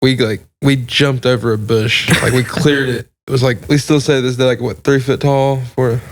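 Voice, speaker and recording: A young man chatting casually as a podcast guest, halting as he searches for his words. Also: medium pitch, fairly even intonation. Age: young adult. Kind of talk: casual chat.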